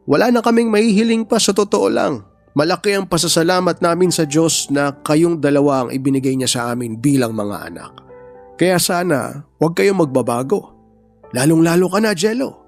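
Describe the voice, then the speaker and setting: A man playing an affectionate, joking father of two sons in a family radio drama. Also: on the high side. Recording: faint music bed under voice.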